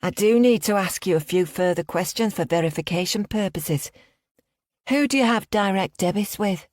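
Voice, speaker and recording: A woman's voice: mid-range voice, moderate pace, darkish tone, no echo, clean studio sound, voice pushed up, natural voice. A woman reading an audiobook, voicing a female scam caller who poses as a bank worker in a put-on Liverpool accent, formal and overly polite. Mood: smooth, insistent.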